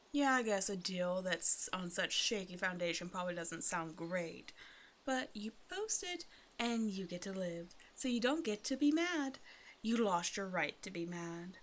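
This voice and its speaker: A woman's voice: mid-range voice, a bit bright, a little hiss or hum, close to an everyday voice. A woman playing a predatory female naga in an ASMR roleplay, talking to the listener with teasing, condescending, casual menace. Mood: smug, threatening. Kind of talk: ASMR roleplay, one-sided scripted dialogue to the listener.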